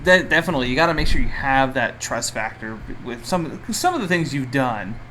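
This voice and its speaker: A man's voice: medium pitch, expressive, a little fast, a bit bright, rough recording. A man hosting a casual interview, informal and bantering as he chimes in. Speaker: podcast host, interviewer. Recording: faint background noise, room ambience.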